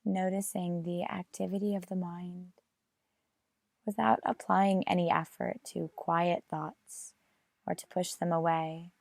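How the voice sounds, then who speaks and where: Female meditation guide, very unhurried with long pauses, plenty of vocal fry. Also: lowish voice, no room sound, clean studio sound. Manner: measured, with long pauses between phrases.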